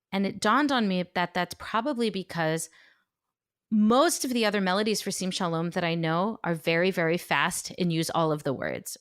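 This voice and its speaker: A woman's voice: mid-range voice, clear, resonant tone. A woman hosting a podcast in a conversational style. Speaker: podcast host.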